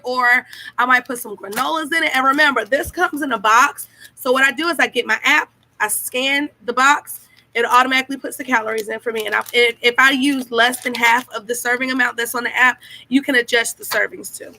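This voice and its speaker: A woman's voice: high voice, pushed and bright, slight background noise, rough recording. A young woman chatting enthusiastically to viewers in a home vlog, recorded close up on a built-in webcam mic. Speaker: vlogger. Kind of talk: casual diet vlog, showing food to camera. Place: small room at home, indoors. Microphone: built-in camera mic, webcam at close range.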